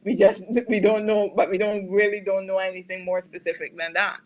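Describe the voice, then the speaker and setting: A woman's voice: medium pitch, level tone, fairly quick, muffled recording. Woman from Barbados fielding policy questions. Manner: thoughtful, many fillers and restarts, emphatic in places. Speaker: trade policy expert answering webinar questions. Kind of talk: spontaneous expert Q&A on a webinar.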